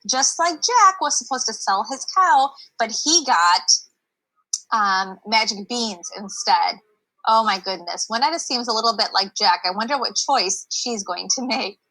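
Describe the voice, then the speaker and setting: A woman's voice: on the high side, animated, measured pace, pushed and bright, low-quality recording. A woman teacher leading a story discussion for children online, encouraging and explaining simply.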